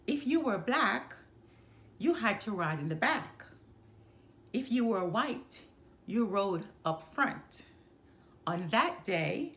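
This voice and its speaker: A woman reading a children's picture book aloud as a storyteller, expressively and with measured, emphatic phrasing. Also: mid-range voice, a bit bright.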